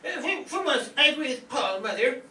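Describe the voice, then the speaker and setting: A man's voice: very high voice, sing-song, brisk, bright, forceful tone, exaggerated voice. A man playing a prissy, stammering, easily shocked middle-aged son in a radio soap opera parody. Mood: alarmed. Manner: stammering, indignant, fluttery. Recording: echoey stage, far mic, clear noise.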